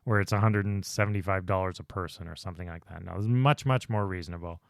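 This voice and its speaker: A man's voice: sits low, fast talker, vocal fry, dry recording, studio quality. A man co-hosting a podcast in casual chat, explaining things in a chatty, enthusiastic way. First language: English. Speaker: podcast co-host.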